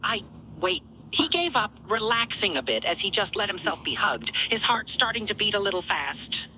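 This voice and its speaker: A woman's voice: mid-range voice, sing-song, moderate pace, bright, forceful tone, slight background noise, rough recording. A woman reading a fan-fiction story aloud as narrator.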